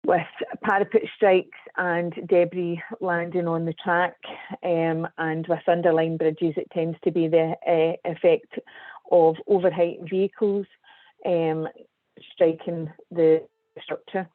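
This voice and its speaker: A woman with a Scottish accent, presenting a webinar as an engineer, measured and full of ums. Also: on the low side, little pitch movement, no echo. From Scotland. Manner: measured, hesitant with frequent ums. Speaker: guest speaker, civil engineer. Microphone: video call. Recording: tinny dry online call audio.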